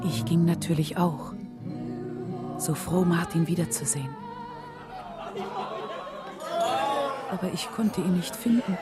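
An actress playing a dignified, devoted wife with a husky voice, in radio-play narration and dialogue. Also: female voice, lowish voice, normal speed, over loud music, close to an everyday voice. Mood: anxious. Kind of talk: scripted first-person narration and dialogue.

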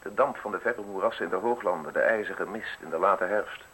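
An actor playing a suave, menacing justice official in a radio drama, speaking in measured, formal, insinuating phrases. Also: male voice, low voice, a bit bright, slightly rough, low-quality recording. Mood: probing, accusing. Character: suave, menacing male state justice official offering a deal.

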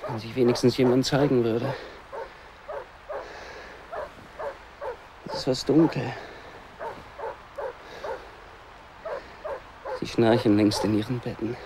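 Radio play: weary lost wanderer male actor, gravelly, brooding monologue, noisy ambience behind. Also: mid-range voice, fairly even intonation, brisk, close to an everyday voice. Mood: exhausted, despairing. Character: exhausted lost male wanderer trudging through bad weather. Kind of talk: radio drama interior monologue.

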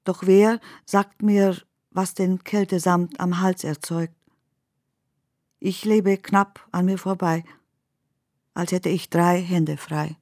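A woman reciting poetry in a measured, deliberate way, as in a radio drama. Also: on the low side, fairly even intonation, dark tone, dry recording, studio quality.

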